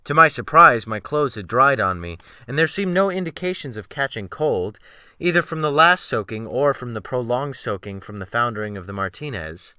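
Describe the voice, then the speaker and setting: Expressive male audiobook narrator, animated pitch. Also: normal speed, clean tone, dry recording, clean studio sound. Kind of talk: dramatic reading of classic fiction.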